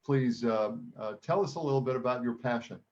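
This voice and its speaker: A man hosts a casual interview over a video call. His voice comes through dull and lo-fi, with a middle pitch for a man. He speaks hesitantly and repeats his guest's points back to check them. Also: a little fast, a little mellow. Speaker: host.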